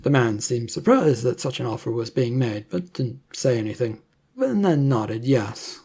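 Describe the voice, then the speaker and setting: Story narrator for serial adventure fiction; mellow tone, slightly rough husky texture. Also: mid-range voice, expressive, normal speed.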